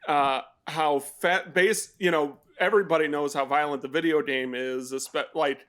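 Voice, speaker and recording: A man co-hosting a film podcast, talking casually in a rambling way with pauses and restarts. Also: a little high, steady tone, fairly bright tone. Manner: rambling, many fillers and restarts. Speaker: podcast co-host. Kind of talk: casual chat.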